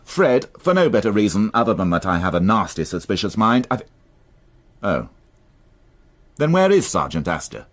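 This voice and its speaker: Gruff-edged, husky, irritable police inspector. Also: male voice, on the low side, huge pitch swings, normal speed, pauses often, full character voice. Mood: exasperated. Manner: curt, exasperated, sardonic. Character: irritable, sardonic, suspicious male police inspector. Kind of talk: radio crime drama acting.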